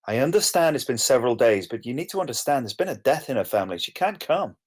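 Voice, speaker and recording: A man's voice: medium pitch, lively intonation, fast, natural voice. A man playing a drained, concerned carer in a plain, conversational screenplay table read. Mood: frustrated, firm. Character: Kai, drained, concerned man caring for a grieving friend.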